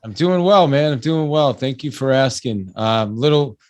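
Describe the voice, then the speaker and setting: A man's voice: medium pitch, moderate pace. A man hosting a podcast, greeting his guest in an upbeat, casual, slangy way. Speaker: podcast host. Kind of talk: casual chat.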